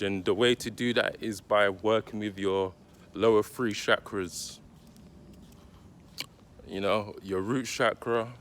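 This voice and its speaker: A man talking directly to his viewers, giving casual spiritual advice in a slow, deliberate, reflective way with pauses. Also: lowish voice, a little hiss or hum. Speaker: spiritual content creator.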